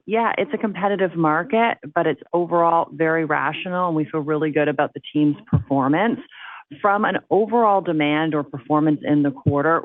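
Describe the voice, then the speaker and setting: A man's voice: fairly high pitch, no echo. A man, a company executive, giving an answer on an earnings call. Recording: muffled, band-limited conference call audio.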